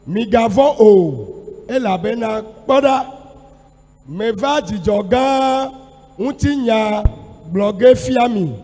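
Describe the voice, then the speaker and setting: A man in his thirties preaching in measured phrases that build into shouts, speaking into a handheld microphone in a large church hall as the sermon goes back and forth between two languages. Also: sits high. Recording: long church hall reverb.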